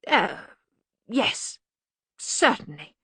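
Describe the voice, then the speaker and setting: A woman acts out a sensible male academic wizard in a comic audiobook. He picks his words diplomatically, hesitant and deferential to his superior. The voice is crisp and bright, recorded dry. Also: medium pitch, sing-song, dry recording, heavily acted. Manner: halting, deferential, resigned. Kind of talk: acted audiobook dialogue.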